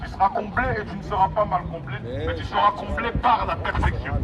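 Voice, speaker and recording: High-pitched man preaching through a megaphone outdoors. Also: quick pace. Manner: exhorting, repetitive, emphatic phrasing. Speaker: street evangelist. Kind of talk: open-air megaphone sermon. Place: outdoors in an urban public street. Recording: dull, distant megaphone sound, loud street noise. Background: traffic and city ambience.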